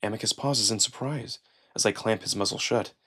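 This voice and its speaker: A man narrating a first-person story dramatically, with expressive, deliberate pauses. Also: on the low side, breathy edge. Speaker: narrator and performer. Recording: music behind the voice. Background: music.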